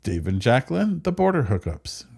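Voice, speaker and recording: A man hosting a casual podcast interview, upbeat and quick to laugh between questions. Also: medium pitch, big pitch swings, gravelly, a little mellow, slightly rough. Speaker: podcast host.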